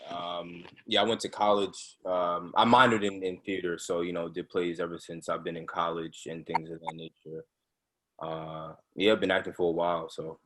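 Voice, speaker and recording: Male acting student, low-pitched, casual, halting delivery. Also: flat delivery, fairly bright tone, rough recording.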